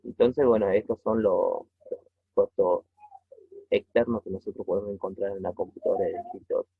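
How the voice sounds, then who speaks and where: Through a tinny, phone-like recording, a man with a low, husky voice gives an informal lesson. He explains step by step as a teacher, pausing haltingly and checking in with short questions. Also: wildly swooping pitch, dry recording. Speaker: technology teacher. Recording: tinny phone-like narrow-band audio.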